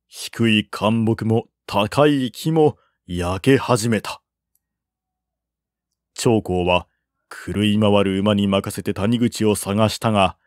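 Male narrator, historical novel reading, unhurried pace, dry studio-like sound. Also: lowish voice, no room sound. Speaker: audiobook narrator. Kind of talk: dramatic literary narration of a historical war novel.

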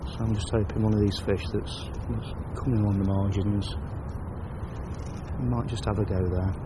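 A man calmly talking through his fishing setup outdoors on a lake bank, sometimes dropping to a hush near the water. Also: on the low side, normal speed, very noisy. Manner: explains calmly, drops to a near-whisper by the water. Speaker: angler presenting a fishing video. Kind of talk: casual on-the-bank fishing commentary.

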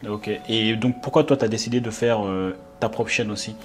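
A man's voice: fairly low pitch, normal speed. A man, asking informal questions as an interviewer. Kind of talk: casual chat, informal questions. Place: living room, on a sofa indoors. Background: background music.

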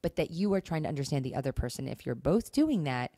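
Low-voiced woman, earnest podcast co-host. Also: very animated, fast talker, warm tone, no echo, studio quality. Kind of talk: conversational relationship advice.

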